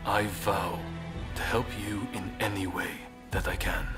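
An actor playing an earnest samurai rebel leader in a game cutscene, speaking in a polite, measured, formal way. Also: male voice, sits low, fairly even intonation, over loud music. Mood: solemn. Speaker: video game character actor. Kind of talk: dramatic game cutscene acting.

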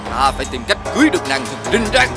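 An actor playing a jealous, resentful and determined rival suitor, the villain of an animated fairy tale. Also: male voice, mid-range voice, racing, natural voice. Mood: resentful, scheming. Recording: clear music behind.

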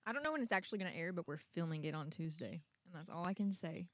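A woman's voice: lowish voice, fast talker, fairly bright tone, dry recording. A young woman hosting a livestream in casual chat, speaking into a handheld microphone outdoors. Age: young adult. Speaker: livestream host. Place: outdoors in a garden.

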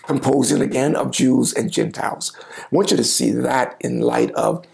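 A middle-aged man, a pastor, preaching a sermon to the camera from a home office, reading scripture and explaining it with lively gestures. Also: medium pitch, moderate pace, creaky. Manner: animated gestures, reading and explaining scripture, occasional quiet asides. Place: home office with bookshelves, indoors. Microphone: lapel mic, seated at desk.

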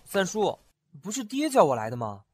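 A man's voice: sits high, huge pitch swings, normal speed, a little mellow, a little hiss or hum. A man playing a chatty, naive young master. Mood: curious. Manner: eager, questioning, chatty.

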